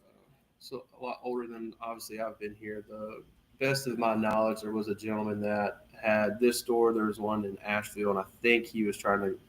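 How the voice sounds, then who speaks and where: A fairly low-voiced man answers questions casually as a guest, easygoing and agreeable. Faint noise sits behind his voice. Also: flat delivery, brisk, low-quality recording. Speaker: scuba shop guest.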